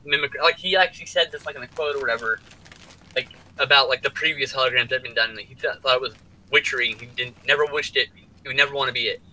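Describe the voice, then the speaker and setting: A man's voice: medium pitch, quick pace, bright, forceful tone, poor audio. A man co-hosting a casual geek chat stream, riffing loosely and swearing casually.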